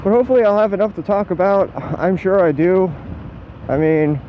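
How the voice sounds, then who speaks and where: A young American man with a fairly high voice talks off the cuff while riding a motorbike. He rambles in a self-deprecating, casually sweary way. The sound is dull and muffled, with some engine and road noise behind him. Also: fairly quick. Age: 20s. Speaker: motorcycle vlogger. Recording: muffled helmet mic with motor vehicle noise.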